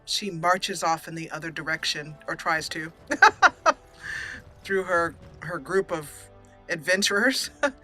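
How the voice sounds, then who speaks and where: A woman's voice: on the low side, expressive, a little slow, long pauses, sharp tone, faint music behind. A woman hosting a let's play, giving playful roleplay commentary and dramatically voicing her character's lines.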